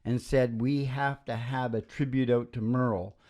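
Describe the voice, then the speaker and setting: A man hosting a homemade radio show in casual, rambling banter, with halting, folksy asides. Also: mid-range voice, steady tone, darkish tone. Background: acoustic guitar playing.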